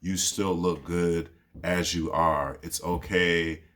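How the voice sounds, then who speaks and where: A man in his thirties with a very low, deep voice speaks in a personal, reflective monologue. His delivery is laid-back and rambling, peppered with easy fillers as he thinks aloud. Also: flat delivery, slow pace, a bit bright. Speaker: podcast host.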